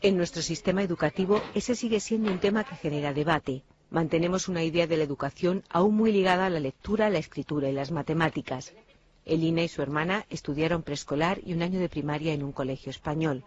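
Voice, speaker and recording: A woman reporter reading a scripted television news narration in a measured, even delivery. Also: low-pitched, dull audio, slight background noise.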